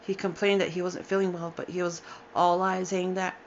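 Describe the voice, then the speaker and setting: A woman in her forties telling a painful personal story, halting and emotional, with sighs and restarts, tired and upset. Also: fairly low pitch, flat delivery, muffled recording, a little hiss or hum. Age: 40s. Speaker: storyteller sharing a personal account. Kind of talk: unscripted personal testimony.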